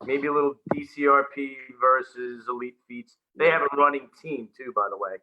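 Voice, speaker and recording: A man's voice: mid-range voice, moderate pace. Easygoing male co-host on a video call, jokey, lo-fi audio. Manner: joking, easygoing banter. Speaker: podcast co-host. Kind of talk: casual video-call chat show.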